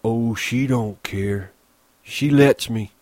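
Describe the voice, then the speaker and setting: A man's voice: slow pace, no echo, lightly acted. A man reading a story, voicing a big simple-minded grown man who talks eagerly and childishly. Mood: pleading. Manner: pleading, eager, rises high when excited.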